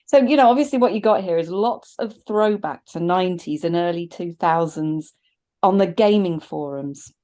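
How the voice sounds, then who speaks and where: A woman's voice: lowish voice, normal speed, mellow. A middle-aged woman chatting enthusiastically as a panelist in a casual film review on a video call.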